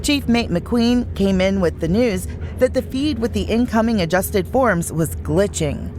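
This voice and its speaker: A woman's voice: medium pitch, animated, unhurried, a little mellow, a little hiss or hum, close to an everyday voice. A woman acting an exasperated, orderly ship's officer in a science fiction audio drama. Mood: explaining.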